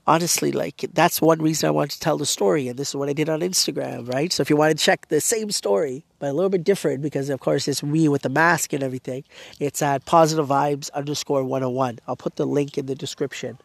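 A man's voice: fairly high pitch, fairly even intonation, brisk, vocal fry, dry recording. A man hosting a podcast, talking casually and earnestly with a blocked nose and a fading voice, full of fillers. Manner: rambling, filler-heavy, earnest. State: congested and losing his voice. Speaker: podcast host. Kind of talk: casual personal monologue.

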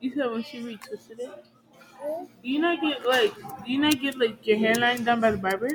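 A woman with a somewhat high voice talks casually in a busy room. She is blunt and keeps firing questions, with people chattering around her. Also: brisk, a crowd in the background, poor audio. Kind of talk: casual chat.

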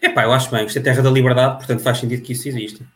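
A man making deadpan, ironic remarks in casual panel chat. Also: mid-range voice, very fast. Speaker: panelist on a comedy news show.

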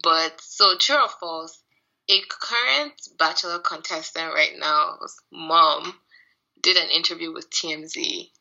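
A woman co-hosting a podcast in casual chat, with a husky voice and a tired, sleepy edge. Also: low-pitched, unhurried, bright, forceful tone, dull audio. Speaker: podcast co-host. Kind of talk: casual chat recapping TV show.

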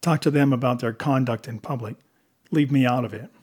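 A man's voice: mid-range voice, brisk, a little mellow, slightly rough, close to an everyday voice. A police detective, read by a male audiobook narrator, talks back with sardonic defiance. He is clipped and confrontational, holding his ground against others. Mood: defiant.

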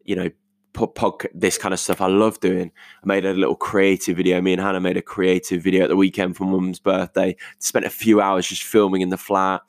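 A young British man with a croaky voice recording a casual, reflective voice note at home, rambling informally as he thinks aloud. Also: deep voice, no room sound, clean studio sound. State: croaky voice today. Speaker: podcast host. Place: multi-purpose living room at home.

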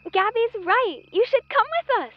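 A woman's voice: very high-pitched, expressive, normal speed, no echo, highs cut off. A woman playing a perky, kind-hearted teenage girl, an eager peacemaker among friends, in an audio drama. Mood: concerned, pleading.

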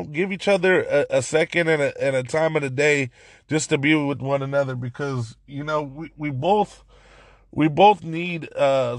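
A man hosts a casual podcast in a hoarse, raspy voice that sits fairly high. He talks excitedly and rambles, restarting his sentences again and again. The sound is dry and close, with no room around it. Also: dry recording. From Central California. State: hoarse from yelling. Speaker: podcast host. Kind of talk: casual solo podcast talk.

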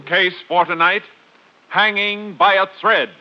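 Male radio announcer, 1950s style: high-pitched, formal and declamatory, over loud music. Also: steady tone, unhurried. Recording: muffled old radio broadcast, loud music.

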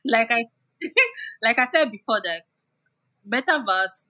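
A woman's voice: on the high side, expressive, slow, sharp tone. A woman guest explaining things in a casual chat, with lots of "like" fillers.

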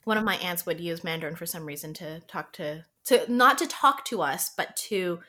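A woman's voice: fairly low pitch, lively intonation, normal speed, pushed and bright. A young woman from Canada, a podcast guest chatting casually, often laughing and full of fillers.

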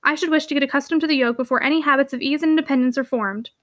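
A woman voicing a meek, unhappy young niece in a storybook, polite, earnest and quietly persistent. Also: high voice, very fast. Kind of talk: storybook character dialogue.

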